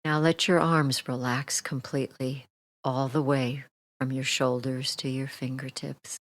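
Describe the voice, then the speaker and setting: Very slow, low-voiced woman guiding meditation. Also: little pitch movement, no room sound. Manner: soothing, gentle, deliberate with long pauses. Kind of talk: guided meditation, relaxation script.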